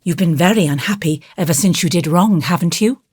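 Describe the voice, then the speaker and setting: A woman voicing a gentle, grave young woman who patiently comforts and admonishes a child in an audiobook. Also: on the low side, fairly quick, slightly rough, no room sound, studio quality. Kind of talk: audiobook character voice.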